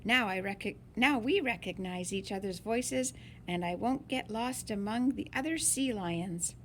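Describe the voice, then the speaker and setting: A woman reading a picture book aloud in an expressive, deliberate way for young listeners. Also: medium pitch, slight background noise. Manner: slow, deliberate, animated phrasing for young listeners. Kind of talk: expressive children's read-aloud.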